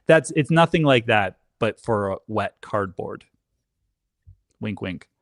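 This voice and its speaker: A man's voice: lowish voice, lively intonation, slow pace, dry recording, studio quality. A man chatting casually as a guest, with a tongue-in-cheek, mock-defensive comic manner. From Canada. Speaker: guest on a live stream.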